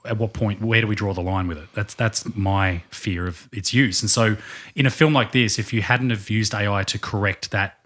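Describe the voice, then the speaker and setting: A man's voice: on the low side, fairly even intonation, moderate pace, fairly bright tone. A man co-hosting a casual film podcast, talking in a thoughtful, hesitant way. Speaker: podcast co-host.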